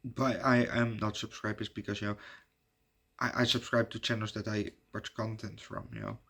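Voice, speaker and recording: A Dutch man, native Dutch speaker, hosting his channel in halting casual chat full of fillers and restarts. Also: lowish voice, sing-song, creaky, a bit bright. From Netherlands. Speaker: YouTube reviewer giving shout-outs.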